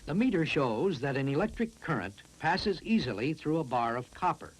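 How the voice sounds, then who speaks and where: Guy doing a school science film voiceover, bit husky, pitch moves around a lot, explains stuff step by step. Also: very animated, low-quality recording. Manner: didactic, deliberate explaining. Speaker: educational film narrator. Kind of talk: scripted science narration for a classroom film.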